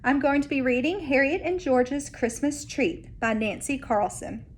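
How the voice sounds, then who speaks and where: A woman reads a picture book aloud as a teacher would to young pupils. Her voice is high and her pace slow and careful, with expressive phrasing. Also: slight background noise. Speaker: elementary school teacher. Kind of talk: children's read-aloud narration.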